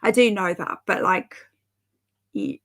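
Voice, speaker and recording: Slow, rambling woman livestream host. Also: medium pitch. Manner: rambling and chatty, with frequent fillers and self-corrections; laughs lightly between remarks. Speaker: livestream host of reading sprints; mother. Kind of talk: casual chat reading out live comments.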